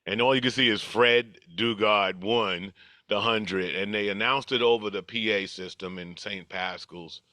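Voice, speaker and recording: A man's voice: lowish voice, unhurried, pushed and bright, dry recording. An older man telling stories from his life as a podcast guest, casual, chuckling through anecdotes and acting out dialogue. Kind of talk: casual reminiscing storytelling.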